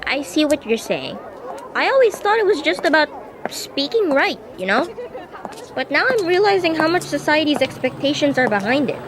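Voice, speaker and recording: A woman's voice: sits high, sing-song, moderate pace, crowd noise. A woman playing a skeptical student classmate in an amateur radio drama, talking in a casual, blunt, questioning way. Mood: coming round. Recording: noisy street ambience with vehicles. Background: outdoor urban noise, vehicles.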